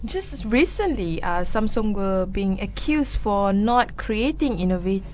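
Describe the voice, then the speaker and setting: A woman co-hosting a casual news discussion show, chatty and quick to laugh between items. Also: medium pitch, big pitch swings, dull audio, slight background noise.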